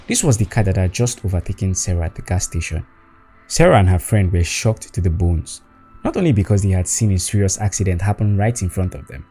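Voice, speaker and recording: A man's voice: on the low side, mellow, slightly rough. A man narrating a scripted spooky story in a dramatic storytelling manner. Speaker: storyteller, host.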